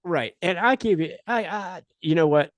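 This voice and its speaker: A middle-aged man hosting a casual podcast chat, rambling and halting as he talks. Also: high-pitched, dry recording. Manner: rambling, halting, restarts often. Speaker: podcast host.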